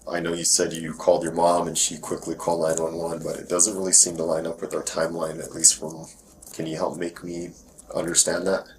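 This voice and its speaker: A man working as a police detective, asking questions informally in a low-key, reassuring way. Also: completely flat delivery, fairly quick. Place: police questioning, recorded on bodycam. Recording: noisy police bodycam audio.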